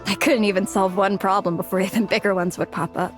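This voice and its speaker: A woman's voice: medium pitch, fairly even intonation, fast talker, lightly acted. A young woman playing an overworked, self-doubting office worker in a game cutscene, hesitant and confiding. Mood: weary, confiding. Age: young adult. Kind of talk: acted video game cutscene dialogue. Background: background music.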